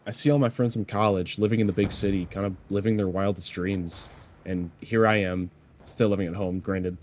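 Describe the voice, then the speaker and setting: A young man in his mid-twenties speaks to camera with a low, deep voice that sounds a little congested from a cold. He rambles earnestly and reflectively, leaning on frequent "you know" fillers. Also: darkish tone, a little hiss or hum. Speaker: YouTuber. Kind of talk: reflective personal vlog talk.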